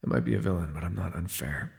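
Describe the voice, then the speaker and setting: A man playing a jaded, wry imprisoned telepath supervillain in an ASMR audio roleplay, speaking in a sardonic, weary, gravelly way with sighs. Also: deep voice, fast talker, a little mellow, big-room sound. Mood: dry, resigned.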